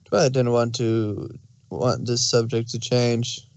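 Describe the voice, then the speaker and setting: A man's voice: mid-range voice, fairly even intonation, slow pace, gravelly, a little mellow. A man narrating a story aloud as a scripted fan fiction reading.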